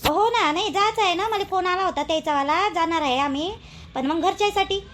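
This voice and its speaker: Young village wife for a cartoon, polite and obliging, high voice, Vidarbha Marathi accent, faint noise female performer. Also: brisk, a bit bright. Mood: practical, obliging. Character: dutiful, soft-mannered young married daughter-in-law.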